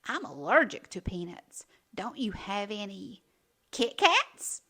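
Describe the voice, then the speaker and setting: A woman's voice: mid-range voice, big pitch swings, slow, pushed and bright, exaggerated voice. A woman voicing a pushy, mysterious little witch girl in a children's storybook, insistent and exclamatory. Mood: disgruntled.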